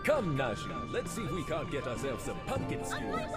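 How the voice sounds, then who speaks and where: A man playing a pompous gentleman detective in a fan-dubbed fantasy game, speaking in grand, florid, theatrical phrases. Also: a little high, huge pitch swings, moderate pace, over loud music. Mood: triumphant. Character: pompous, flamboyant gentleman detective, self-styled inspector extraordinaire. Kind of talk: fan-dub video game acting.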